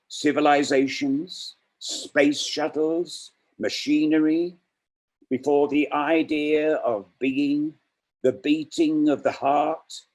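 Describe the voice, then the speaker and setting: A man reading poetry aloud as a poet, measured, pausing between short phrases. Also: on the high side, darkish tone.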